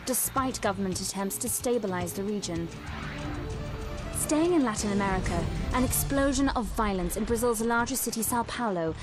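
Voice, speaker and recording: An actress playing a composed TV news anchor reading headlines in a video game. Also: female voice, on the high side, close to an everyday voice. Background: game music.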